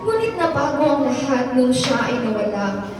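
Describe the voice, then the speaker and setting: A woman's voice: high voice, lively intonation, normal speed. A woman playing an eighteen-year-old who narrates her own life story with regret, in scripted radio drama style. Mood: wistful. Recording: echoey hall, distant, music behind.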